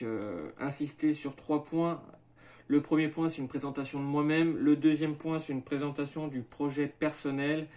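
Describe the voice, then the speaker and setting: A man in his thirties from western France presents himself and his farm project to camera, halting often with fillers and restarts as he goes through his points one by one. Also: a little high, warm tone. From France, Maine-et-Loire. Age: 30s. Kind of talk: hesitant self-presentation to camera.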